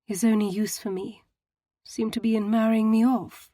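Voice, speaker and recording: A woman's voice: medium pitch, normal speed, darkish tone, slightly rough, no echo, clean studio sound, pitched above the performer's own voice, lightly acted. A woman voicing a distraught young society woman who speaks in a quaking, tearful voice. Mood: sorrowful.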